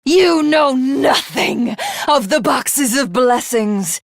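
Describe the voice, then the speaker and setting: A haughty alien villainess for a video game. Her commanding, theatrical voice swings widely in pitch and takes its time over each grandiose, taunting phrase. The recording is close and dry. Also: lively intonation, gravelly, no room sound, exaggerated voice. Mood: furious, megalomaniacal. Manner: imperious, grandiose, taunting, drawn-out delivery. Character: haughty, ruthless alien villainess craving godhood.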